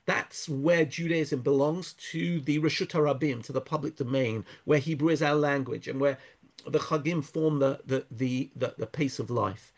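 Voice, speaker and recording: A man speaking thoughtfully in an interview, pausing and restarting often, weaving in Hebrew verses. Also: medium pitch, steady tone. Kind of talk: conversational interview.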